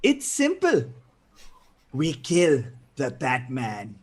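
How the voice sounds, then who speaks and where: A man playing a manic, menacing criminal clown in a live table read, taunting with drawn-out words and cackles. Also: mid-range voice, huge pitch swings, breathy edge, exaggerated voice.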